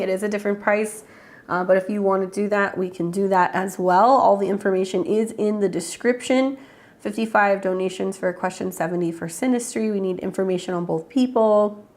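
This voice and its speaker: Congested woman hosting a live stream. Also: medium pitch. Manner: chatty, greets viewers by name, frequent ums and uhs. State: congested with a cold. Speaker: astrologer hosting live readings. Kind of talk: casual livestream chat.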